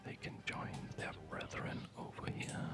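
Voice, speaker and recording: Slow, whispering ASMR gamer. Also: over loud music. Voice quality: breathy, unvoiced whisper. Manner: slow, hesitant, playful musing. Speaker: video game streamer. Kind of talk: whispered ASMR gameplay commentary.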